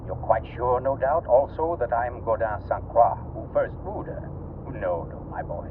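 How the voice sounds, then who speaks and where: An actor playing an urbane, condescending older author turned detective in a vintage 1940s radio drama, speaking with theatrical deliberation. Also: male voice, medium pitch, animated, moderate pace, far from the mic, close to an everyday voice. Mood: knowing, theatrical. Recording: tinny, noisy vintage radio through car speakers. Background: car ambience.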